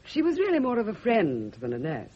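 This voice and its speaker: A woman's voice: medium pitch, huge pitch swings, fairly quick, husky. An actress playing a genteel, poised single woman with a polite, probing manner in a radio drama. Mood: gushing politeness. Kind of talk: 1970s radio drama acting. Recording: dull lo-fi old radio recording.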